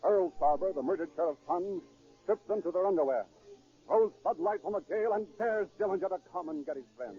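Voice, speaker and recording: A man's voice: sits high, fairly quick. A man delivering dramatic scripted narration in the style of 1930s radio. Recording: tinny, phone-like 1930s radio recording.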